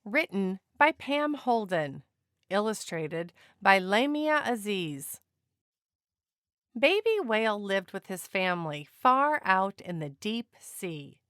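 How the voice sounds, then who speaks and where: A woman narrating a children's picture book aloud in an expressive, deliberate storytelling manner. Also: medium pitch, clean tone, dry recording, clean studio sound.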